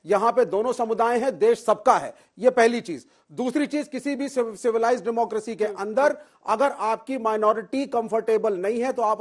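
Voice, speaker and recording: A man with a very high speaking voice argues on a televised debate panel. He presses his case insistently and keeps talking over his opponent. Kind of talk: heated TV debate.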